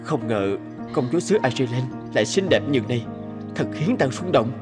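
A man's voice: mid-range voice, animated, normal speed, over music, natural voice. A man playing a brave, dutiful young knight in a cartoon fairy tale, speaking formally and earnestly. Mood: torn, smitten.

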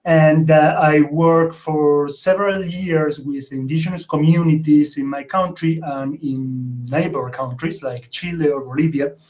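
A man in his forties from Argentina, giving a conference talk over a video call, hesitant and full of fillers. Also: a little high. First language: Spanish. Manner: hesitant, many fillers, animated. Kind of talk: remote conference presentation. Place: indoor home room. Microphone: webcam on a video call.

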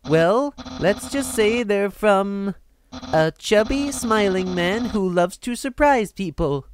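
A woman's voice: medium pitch, animated, slow pace, slight background noise. A woman voicing a goofy, boastful yet earnest male skeleton in a theatrical, declaiming manner for a video game dub. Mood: enthusiastic.

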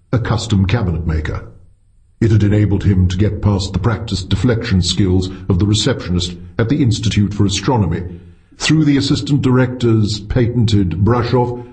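A man's voice: lowish voice, a little mellow. A man reading a scripted short story as an audiobook narrator.

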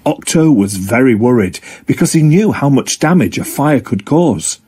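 A man narrating a scripted children's story in an expressive, deliberate way. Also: medium pitch, breathy edge.

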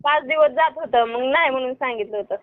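A woman's voice: high-pitched, fast talker. A young woman with a rural western Maharashtrian Marathi accent, chatting casually on the phone. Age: young adult. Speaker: social media video performer. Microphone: phone line. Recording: tinny phone call recording.

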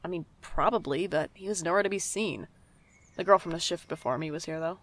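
A woman's voice: on the low side, brisk, a bit bright. A woman playing a sardonic young night-shift worker in an audio drama, with a dry, casual delivery. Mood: defensive. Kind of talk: audio drama acting and first-person narration.

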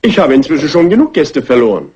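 Fast-talking, flustered male hotel owner. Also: on the high side, sing-song, warm tone. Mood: indignant, flustered. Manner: agitated, complaining. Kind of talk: German crime film dub acting. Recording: dull, lo-fi old film soundtrack.

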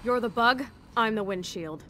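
A fairly high American voice cuts through loud music: a tough young woman soldier , played by an actress. She is punchy and sarcastic in action game style. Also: normal speed, lightly acted. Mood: defiant sarcasm. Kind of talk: action video game acting. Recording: dramatic music loud behind the voice.

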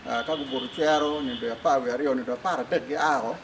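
A man's voice: medium pitch, fairly even intonation, fast. An older man with a Ugandan accent, a church official explaining things in an interview outdoors. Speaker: church official, diocesan secretary. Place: outdoors in front of a building. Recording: clear background noise, outdoor ambience.

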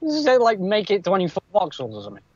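High-voiced joking gamer guy. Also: huge pitch swings, rapid-fire, slightly rough, dull audio. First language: not English. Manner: joking, easily flustered. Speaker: gamer on voice chat. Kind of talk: casual chat while playing.

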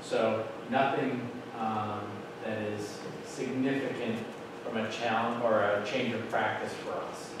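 A middle-aged man at a podium, explaining policy updates to a school board in a casual, explanatory way with many fillers, recorded from across a large meeting room. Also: mid-range voice. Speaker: school administrator presenting to a board. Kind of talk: meeting presentation. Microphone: room mic from far off, standing at a podium. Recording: very echoey room, distant mic, noisy.

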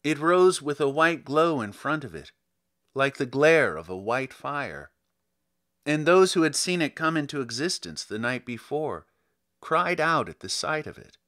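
A man reads a story aloud as a dramatic narrator. His delivery is slow and deliberate, with wide, lively swings of pitch. The voice is bright and forceful, and he lingers on phrases for theatrical weight. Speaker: narrator reading a short story. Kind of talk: dramatic audiobook narration of literary fiction.

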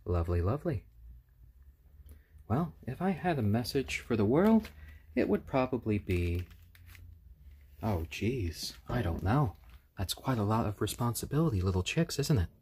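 A man hosting a casual live stream at home on his phone, speaking gently to viewers and to baby chicks. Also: on the low side, very animated, normal speed, long pauses, a little hiss or hum. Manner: gentle, soft-spoken, talks to chat and chicks. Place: home room. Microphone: handheld phone.

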